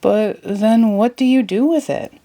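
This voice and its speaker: A woman voicing a childlike, slow-witted but enthusiastic male cartoon starfish in a storybook. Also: a little high, warm tone, pitched above the performer's own voice. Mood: confused. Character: dim, friendly, childlike male cartoon starfish best friend.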